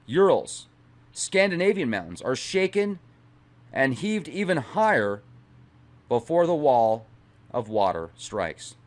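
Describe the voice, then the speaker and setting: A middle-aged man hosting a channel, speaking in a dramatic, emphatic way as he reads aloud and comments. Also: mid-range voice. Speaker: channel host. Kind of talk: dramatic reading aloud with casual asides.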